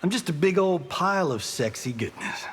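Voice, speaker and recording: An actor playing a vain, flippant movie-star fighter in a video game, smug and joking, over background noise. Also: male voice, on the high side, animated, normal speed, a bit bright. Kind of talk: video game character dialogue.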